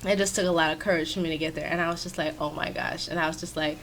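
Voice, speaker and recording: A woman with a raspy, stuffy voice, chatting casually and rambling with many fillers, recorded on a sensitive microphone in her home office. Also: deep voice, fairly even intonation, racing, slight background noise. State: stuffy from sinus trouble. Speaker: video creator. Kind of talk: casual commentary over a speed-build. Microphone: new sensitive desk microphone.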